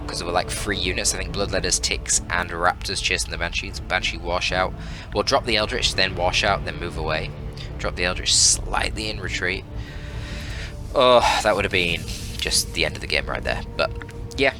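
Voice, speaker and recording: Low-voiced man casting a strategy game. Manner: chatty, analytical, informal and lightly joking. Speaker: esports caster and channel host. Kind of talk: casual strategy game commentary. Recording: music behind the voice.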